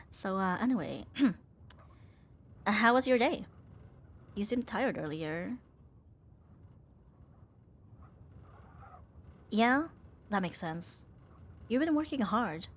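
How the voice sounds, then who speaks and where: A woman's voice: mid-range voice, expressive, takes it slowly, long pauses. A woman playing a young tomboy roommate, affectionate but flustered, in an intimate ASMR romance roleplay with a hesitant, teasing delivery. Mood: tender, then casual, sheepish. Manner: hesitant, teasing, breathy sighs and nervous laughs.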